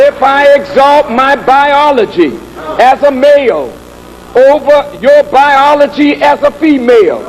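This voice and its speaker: A man preaching a sermon in an emphatic, deliberate oratorical manner, building with repetition and rhetorical questions. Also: extremely high pitch, low-quality recording. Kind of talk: sermon, oratorical public address.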